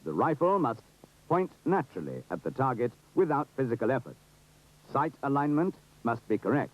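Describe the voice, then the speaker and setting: A man's voice: mid-range voice, very animated, normal speed. A British man giving formal, precise, authoritative scripted narration for an instructional film. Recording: dull, muffled 1970s film soundtrack.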